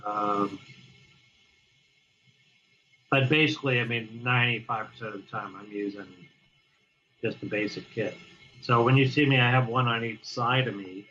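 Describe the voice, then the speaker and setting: A man talking casually as an interview guest, halting and restarting often as he explains how he plays drums. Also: mid-range voice, pushed and bright, muffled recording. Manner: halting, many restarts and fillers. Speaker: guest drummer.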